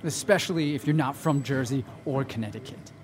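A woman's voice: rumbling low, fairly quick, darkish tone, slight background noise. A woman playing a sardonic, irresponsible young male roommate in a naturalistic comedy audio drama. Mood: casual.

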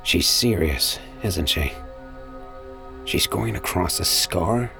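Low, gravelly space pirate. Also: male voice, expressive, slow, exaggerated voice. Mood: wary, doubtful. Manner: brooding first-person narration. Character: weary, uneasy male space pirate narrating his ordeal. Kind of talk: audio drama acting and narration. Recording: music behind the voice.

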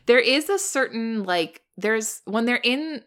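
A woman's voice: a little high, animated, unhurried, fairly bright tone. A woman in her thirties co-hosting a podcast, chatting eagerly about a film and laughing often. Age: 30s. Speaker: podcast co-host. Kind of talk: casual film discussion.

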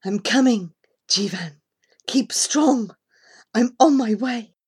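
A woman's voice: fairly high pitch, slow, darkish tone, breathy edge, full character voice. A woman voicing a desperate young child traveller, speaking in an urgent, pleading, emphatic way in an audiobook story. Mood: urgent whisper.

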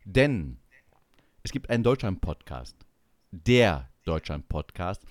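A man's voice: deep voice, very animated, takes it slowly, no room sound, studio quality. A man from East Germany chatting casually and jokingly as a podcast host, often searching for words. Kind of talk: casual comic chat.

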